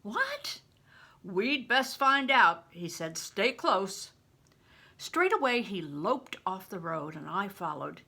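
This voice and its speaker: A woman's voice: mid-range voice, fairly bright tone. An older woman, a grandmother reading a story aloud and talking it through in an informal, explanatory way. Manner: explanatory, poses questions to listeners.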